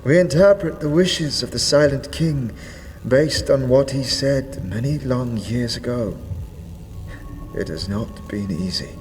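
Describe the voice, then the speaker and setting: A man voicing an ancient, weary undead ruler who speaks in archaic thou-speech, grave and slow. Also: medium pitch, darkish tone, in a large hall, faint music behind, voice pushed up, lightly acted. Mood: troubled.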